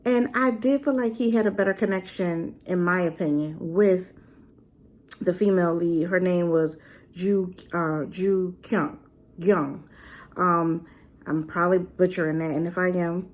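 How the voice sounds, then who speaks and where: Woman, lowish voice, chatty and rambling, lots of fillers, animated asides. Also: measured pace. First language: not Korean. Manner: animated, with rhetorical questions. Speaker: drama reviewer. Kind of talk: casual spoken review.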